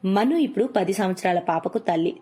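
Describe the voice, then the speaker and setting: A woman's voice: medium pitch, expressive, brisk, mellow, slightly rough. A woman narrating a cartoon moral story in a scripted storytelling style.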